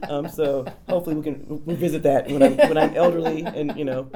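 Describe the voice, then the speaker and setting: A woman's voice: low-pitched, fairly even intonation, a little fast, a crowd in the background. A middle-aged American woman hosting a casual interview, speaking in a reflective, encouraging way. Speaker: interviewer, art teacher.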